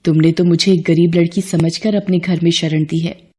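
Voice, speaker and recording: A woman's voice: lowish voice, fairly even intonation, moderate pace, mellow, breathy edge. A woman voicing a serene, kindly goddess who speaks formally in a devotional storybook tale. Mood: gracious, solemn. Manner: formal, solemn, kindly pronouncements. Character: benevolent goddess, serene and gracious, granting blessings.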